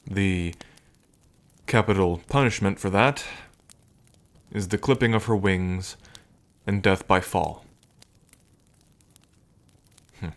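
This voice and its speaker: A man playing a smitten, teasing dragon king in an intimate ASMR roleplay, with unhurried, murmuring pauses. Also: deep voice. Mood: grave, measured. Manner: unhurried, murmuring pauses, hums and soft chuckles.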